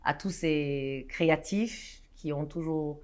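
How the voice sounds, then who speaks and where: A woman's voice: low voice, slow, a little mellow. A woman in her thirties from Guinea, a guest in a studio interview, talking animatedly with frequent restarts and hesitations. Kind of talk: casual interview talk. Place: studio set.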